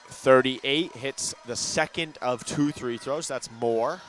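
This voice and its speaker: A man calling a school basketball game live, brisk play-by-play that gets loud on big shots, with a ball bouncing in the gym behind him. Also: mid-range voice, a little hiss or hum. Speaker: play-by-play commentator.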